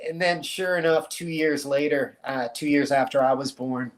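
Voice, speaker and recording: A middle-aged man born in Cuba, a guest telling family stories in a relaxed, easy way and laughing often. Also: a little high, normal speed. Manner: relaxed storyteller, laughs easily. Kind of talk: casual interview chat.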